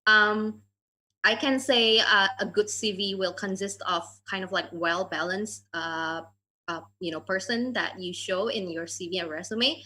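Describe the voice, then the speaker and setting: A woman, a moderator and education adviser, moderating an online Q&A over a video call. She reads out questions and answers them, pausing often on "um" and "uh". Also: medium pitch, sharp tone.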